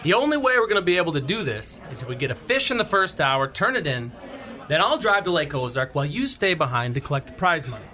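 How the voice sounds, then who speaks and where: A self-important pro angler, impatient with his wife in a radio drama. The voice is bright and forceful, swinging widely in pitch. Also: big pitch swings, quick pace. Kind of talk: radio play acting.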